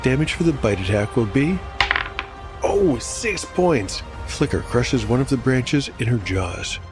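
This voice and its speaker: A man's voice: medium pitch, lively intonation, unhurried. A man narrating his solo tabletop game, rolling dice aloud and musing on the results. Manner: rolls dice aloud, reflective asides. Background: music.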